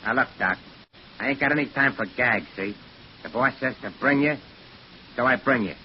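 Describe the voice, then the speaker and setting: A man's voice: medium pitch, normal speed, natural voice. An actor in a 1940s radio drama playing a tough, menacing American gangster henchman who talks in curt, bossy slang. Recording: hissy, dull 1940s radio recording.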